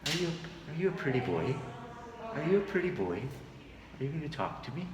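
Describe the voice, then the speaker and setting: A man who entertains as a musician, talking in an animated, folksy storytelling way in a casual travel vlog. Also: fairly high pitch, brisk, crowd noise. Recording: roomy echo, prominent acoustic guitar music.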